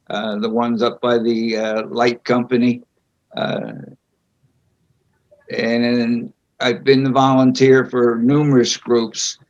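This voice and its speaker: Slow, halting older man on a lo-fi call. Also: medium pitch. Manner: slow and halting, with frequent pauses. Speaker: board candidate being interviewed. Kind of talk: answering interview questions at a public meeting. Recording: dull lo-fi video call audio.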